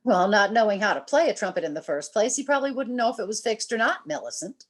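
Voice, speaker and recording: A woman playing a long-suffering, teasing wife with dry wit and a gently nagging manner in an old-time radio comedy. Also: mid-range voice, expressive, fast talker, natural voice. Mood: sociable, teasing. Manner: polite, gently nagging, wry.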